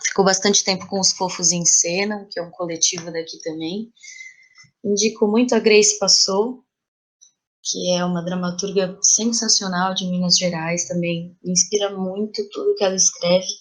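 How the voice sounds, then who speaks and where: A woman's voice: lowish voice, fairly even intonation, moderate pace. A Brazilian woman speaking as a guest in a casual podcast conversation, with a Brazilian Portuguese accent. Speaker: interview guest, playwright.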